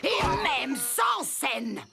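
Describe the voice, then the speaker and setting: A woman's voice: high-pitched, very animated, extremely slow, vocal fry, pushed and bright, heavily acted. A woman voicing a haughty, bossy crocodile queen in a cartoon. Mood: grand. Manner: imperious and bossy. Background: cartoon music.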